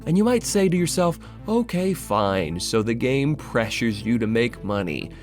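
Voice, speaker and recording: Animated, sardonic man narrating a video essay. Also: medium pitch, a little slow, soft background music. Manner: conversational, sardonic, emphatic, swears casually. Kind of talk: scripted video essay narration with casual asides.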